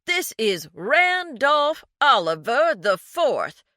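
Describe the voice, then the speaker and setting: A woman voicing a man who poses as a snobby rich client, shouting in a slow, condescending drawl. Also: high voice, very animated, no echo, studio quality, voice pushed up, exaggerated voice. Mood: furious. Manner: loud elongated drawl, condescending.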